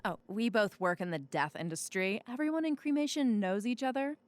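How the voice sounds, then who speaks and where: A woman's voice: mid-range voice, fairly quick, dry recording, lightly acted. An actress playing a blunt, flippant woman on the run in an audio drama, with a breezy, sardonic, casual delivery.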